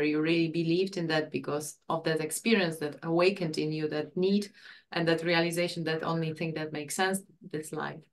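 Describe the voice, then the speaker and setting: A woman interviewer asking an earnest, halting question. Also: deep voice.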